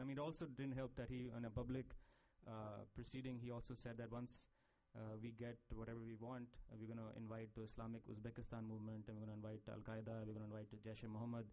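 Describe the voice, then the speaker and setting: A man's voice: mid-range voice, warm tone, rough recording. A man, speaking as a panelist and explaining at length, with frequent fillers and restarts. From Pakistan. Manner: discursive, many fillers and restarts. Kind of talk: panel discussion. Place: conference panel stage.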